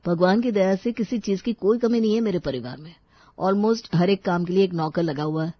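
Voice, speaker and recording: A woman voicing a frank, self-assured young businessman groom in a storybook tale, speaking in a measured, earnest way. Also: fairly low pitch, dry recording, highs cut off, close to an everyday voice. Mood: earnest, confident.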